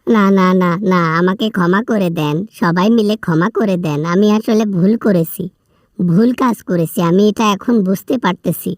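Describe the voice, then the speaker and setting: A woman's voice: mid-range voice, moderate pace, no echo, studio quality, natural voice. A woman with a Bangladeshi accent playing a whining male prisoner in a comic phone skit, pleading and repeating words in agitation. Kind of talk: comic prank-style phone call skit.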